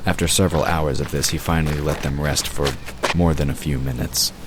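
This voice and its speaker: A man's voice: extremely low pitch, steady tone, a little fast, noisy background. A man narrating a scripted audio drama as its storyteller.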